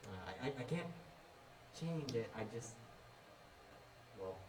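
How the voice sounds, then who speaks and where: A young man acting an insecure, troubled teenage boy in a student stage play, speaking haltingly with stammers, recorded from afar. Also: mid-range voice, lively intonation, echoey room, distant mic, noisy background. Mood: hesitant, uneasy. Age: young adult. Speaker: student actor. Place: indoor stage, distant microphone.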